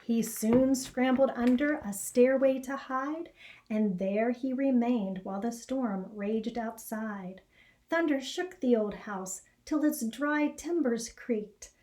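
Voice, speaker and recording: Female storybook reader, middle-aged, soft mellow tone, slow measured delivery with expression. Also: fairly high pitch. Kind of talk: read-aloud children's story narration. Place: small indoor classroom or office.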